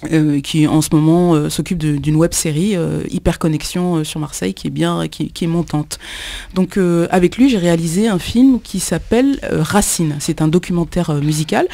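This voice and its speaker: A woman from Kinshasa, a musician guesting on a radio show, talking in a halting way with many pauses and repeated words. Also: low-pitched, vocal fry, dark tone, no echo. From Congo, Kinshasa. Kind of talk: radio interview, hesitant conversational speech.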